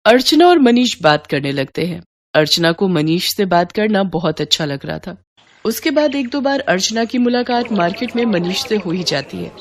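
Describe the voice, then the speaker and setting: A woman's voice: medium pitch, expressive, moderate pace. A woman narrating a scripted moral story as the storyteller.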